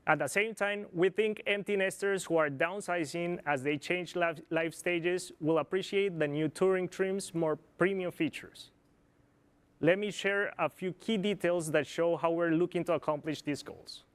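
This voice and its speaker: A man from Colombia with a Colombian Spanish accent, a product planner giving a measured, scripted corporate presentation. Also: high-pitched, smooth. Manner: measured, informative, occasional slips.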